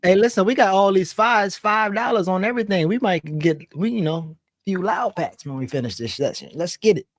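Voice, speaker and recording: Slangy male host, slightly high voice. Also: fairly quick, hardly any pauses, no echo, studio quality. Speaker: host reading viewer comments. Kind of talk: casual chat, slangy.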